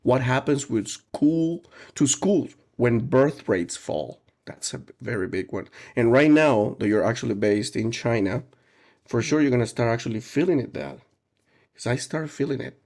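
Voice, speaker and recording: A man's voice: medium pitch, animated, normal speed, gravelly, a little mellow. A man hosting a casual teaching podcast, enthusiastic and jokey, laughing often as he introduces guests and asks questions. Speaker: podcast host.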